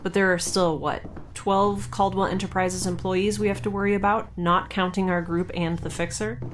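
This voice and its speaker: A woman's voice: mid-range voice, level tone, moderate pace, a little hiss or hum, natural voice. A woman playing a quirky young scientist in a science fiction audio drama, informal and wry with a slightly hesitant delivery. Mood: wry, nervous.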